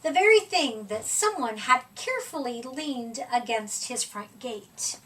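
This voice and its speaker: High-voiced, unhurried woman reading a storybook. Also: expressive, bright, forceful tone, distant mic. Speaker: storybook reader. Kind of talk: expressive read-aloud of a children's picture book.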